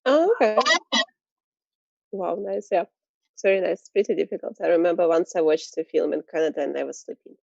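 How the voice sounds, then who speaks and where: A woman hosting a video call as a teacher, giving quick, encouraging replies. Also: fairly low pitch, vocal fry, dark tone, no room sound. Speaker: host teacher. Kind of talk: casual video-call chat. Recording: muffled video-call audio.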